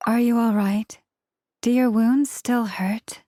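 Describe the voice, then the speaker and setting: An actress voicing a caring young woman who speaks gently and with concern. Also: fairly high pitch, fairly even intonation, slow pace, no room sound, studio quality.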